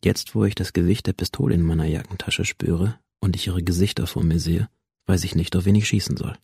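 Low, gravelly, alienated schoolboy narrator. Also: male voice, steady tone, a little fast, darkish tone, no echo, studio quality, heavily acted. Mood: tense, brooding. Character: cold, alienated teenage schoolboy narrating his violent inner world. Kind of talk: first-person radio drama monologue.

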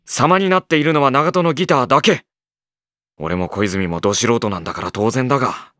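A man playing a sardonic, long-suffering high-school boy who narrates and argues dryly in an anime audio drama. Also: fairly quick, dry recording, lightly acted. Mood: wry narration. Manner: dry, wry, deadpan delivery. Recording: music behind the voice.

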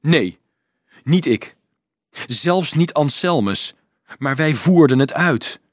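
A man acting out an anguished monk in a historical audiobook. He speaks in a pained, pleading way, his pitch raised and very mobile, confessing with shame. The sound is dry. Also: animated, slow pace, no echo. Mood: desperate. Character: ashamed, anguished male medieval monk confessing a grim plot. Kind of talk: dramatised audiobook reading.

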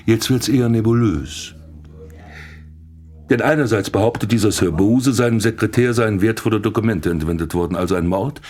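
An actor playing a brooding, puzzled police commissioner in a radio play, husky and thinking aloud. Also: male voice, fairly low pitch, normal speed, darkish tone, close to an everyday voice. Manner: muttering, thinking aloud with exclamations.

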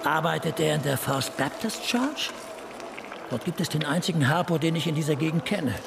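An actor playing a brash, foul-mouthed, cynical police detective in a radio crime drama, sardonic and coarse. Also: male voice, on the high side, normal speed, gravelly, noisy background.

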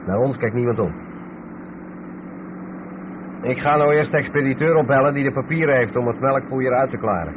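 An actor playing a practical, matter-of-fact truck driver who takes charge in a radio play. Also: male voice, medium pitch, normal speed, long pauses, lightly acted. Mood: reassuring, practical. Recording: narrow-band old radio recording, clear background noise.

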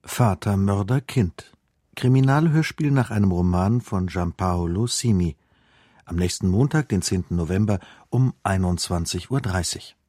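Low-voiced male radio announcer, dry studio. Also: lively intonation, moderate pace, mellow, no echo. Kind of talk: scripted programme announcement and story summary.

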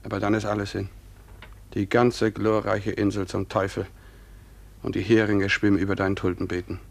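An actor playing a grave, stubborn farmer husband who is convinced of doom, in a 1950s German radio play. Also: male voice, lowish voice, completely flat delivery, normal speed, gravelly, no room sound. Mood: gloomy. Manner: grave, resolute.

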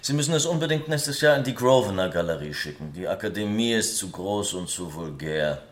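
An actor in a German radio play voicing a languid, witty English aristocrat, drily ironic and aloof. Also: male voice, lowish voice, expressive, fairly quick, close to an everyday voice.

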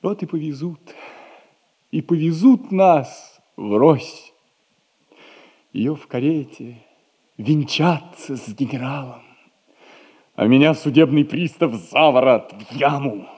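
An actor playing a poor, lovelorn young clerk, plaintive and self-pitying, in a theatrical 1960s audio comedy. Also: male voice, fairly high pitch, lively intonation, slow, long pauses, a little mellow, breathy edge, exaggerated voice. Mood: melodramatic self-pity.

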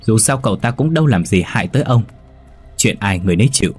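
A man voicing a resolute young exorcist who chants a spell, rising higher and louder. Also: expressive, quick pace, breathy edge, soft background music. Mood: firm. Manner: chanting incantations.

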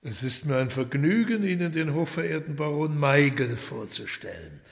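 An actor in a German radio play voicing a suave, courteous but menacing devil lord with a ceremonious, deliberate manner. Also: male voice, fairly high pitch, lively intonation, gravelly. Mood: smoothly courteous. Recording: long hall reverb under loud music.

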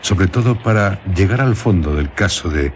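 A man's voice: low voice, lively intonation, moderate pace, slight background noise. A man, hosting and narrating a literary radio drama. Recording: music behind the voice. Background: music.

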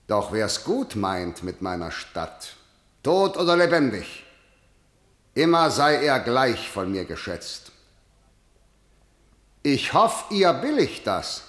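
Stern ruler declaiming in a reverberant hall. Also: male voice, medium pitch, big pitch swings, slow, pauses often, fairly bright tone. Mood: stern resolve. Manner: declamatory, commanding. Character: stern, imperious male ruler proclaiming harsh law. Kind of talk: German stage-verse radio drama acting. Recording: echoey hall with long reverb.

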